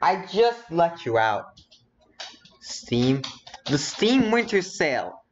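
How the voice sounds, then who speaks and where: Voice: mid-range voice, big pitch swings, slow pace, lo-fi sound, slight background noise. A streamer chatting casually during a game stream, thinking aloud and muttering asides while browsing.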